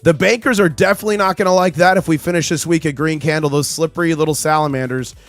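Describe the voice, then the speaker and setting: A man's voice: a little high, fast talker, soft background music. Chatty man hosting a live market stream. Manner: chatty, reads chat aloud, excitable. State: recovering from lost voice. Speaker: livestream host. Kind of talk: casual live market commentary.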